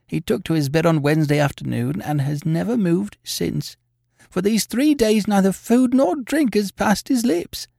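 A man's voice: sits high, lively intonation, normal speed, gravelly, mellow, no room sound, clean studio sound, voice pushed up, heavily acted. A man voicing an anxious older landlady, pleading in an urgent, deferential and distressed manner. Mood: anxious, resigned.

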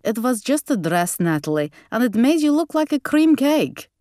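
A woman's voice: fairly high pitch, very animated, moderate pace, warm tone, no echo, studio quality, pitched above the performer's own voice. A woman voicing an imperious, snappish old grandmother with a Hungarian accent in an audiobook. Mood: scornful, grand. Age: older. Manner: snappish, opinionated.

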